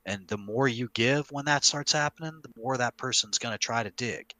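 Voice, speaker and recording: A man's voice: mid-range voice, normal speed, pushed and bright, no room sound. A man speaking as a podcast host and game master, casually explaining how to run tabletop game stories.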